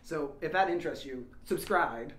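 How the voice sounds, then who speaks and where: Higher-voiced man hosting in an echoey room. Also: normal speed, a bit bright. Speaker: podcast host. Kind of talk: casual, rambling chat. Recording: echoey room, faint background noise.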